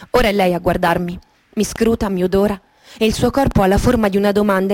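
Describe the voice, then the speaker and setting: A woman gives a dramatic literary reading in the manner of a radio theatre monologue, intimate and poetic, with deliberate pauses. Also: medium pitch, warm tone. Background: music with guitar and sound design.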